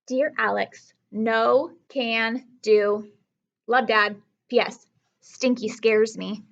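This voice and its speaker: A woman voicing a patient, wry father in a storybook read-aloud, measured and gently firm. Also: on the high side, fairly even intonation, vocal fry, lo-fi sound. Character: patient, wry father answering his young son.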